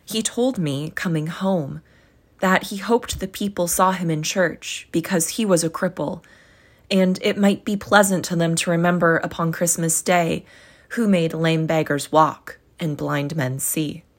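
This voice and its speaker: A woman voicing a kindly, gentle poor father who speaks softly of his son. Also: fairly low pitch, fairly even intonation. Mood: tender. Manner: soft, tender, slow.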